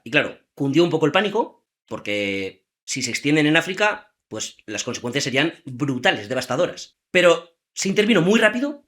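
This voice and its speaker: A man hosting a trivia podcast, telling a story in a lively, chatty way and asking the listeners questions. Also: on the high side. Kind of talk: casual storytelling chat.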